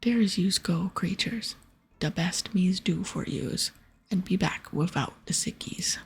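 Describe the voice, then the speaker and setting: A woman voicing a dim, worried male ogre in whispered, broken baby-talk for a game. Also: on the low side. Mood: gruff, cautioning. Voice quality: whispered, breathy. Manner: slow, broken childlike grammar.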